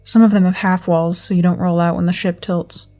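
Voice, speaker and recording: A woman acting in an audio drama as a quiet, literal-minded, earnest woman who is terse and matter-of-fact. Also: on the low side, level tone, brisk, close to an everyday voice. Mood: earnest, puzzled.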